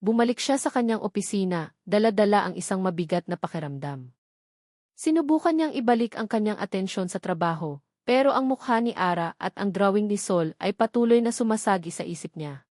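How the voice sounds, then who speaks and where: A woman narrating a scripted romance story, reading in a steady, dramatic way. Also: mid-range voice, fairly quick, no room sound, clean studio sound.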